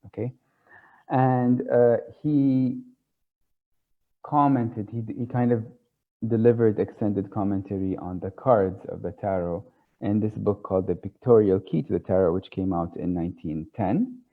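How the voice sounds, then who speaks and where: A man whose first language is Arabic, explaining ideas in an informal lecture, pausing often with fillers and checking in with "okay?". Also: fairly low pitch. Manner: thoughtful, hesitant, many fillers, checks in with "okay?". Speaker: tarot teacher. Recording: tinny phone-like sound.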